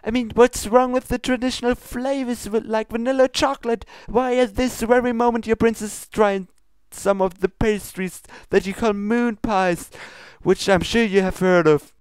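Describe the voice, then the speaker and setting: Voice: medium pitch, steady tone, normal speed, few pauses, smooth, dry recording, pitched above the performer's own voice, close to an everyday voice. A reader voicing a haughty, regal pony princess with a British accent and a formal, indignant manner in a comedy fan fiction reading. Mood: scolding.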